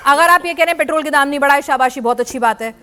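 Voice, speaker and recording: A woman's voice: sits high, rapid-fire, pushed and bright. A woman anchoring a heated TV debate, firmly cutting in to keep panelists in order. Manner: firm, keeps cutting in to restore order.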